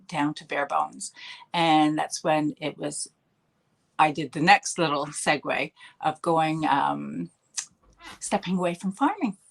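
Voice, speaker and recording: Upbeat Canadian woman, chatty guest. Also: lowish voice. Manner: chatty, upbeat, laughs often. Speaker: podcast guest, healer and mentor. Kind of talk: casual interview chat.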